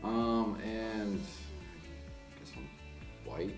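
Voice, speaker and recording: Deep male voice, distant and echoey. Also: lively intonation, very slow. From Rhode Island, US. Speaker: interviewee. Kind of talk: casual, wry interview chat. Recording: distant, echoey, music behind.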